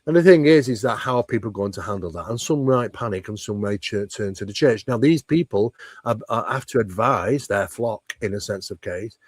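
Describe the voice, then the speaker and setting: Low-voiced man explaining emphatically, dry recording. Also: animated, fairly quick, no echo. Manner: emphatic, discursive, poses rhetorical questions. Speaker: interview guest, paranormal researcher. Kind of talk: conversational explanation of paranormal topics.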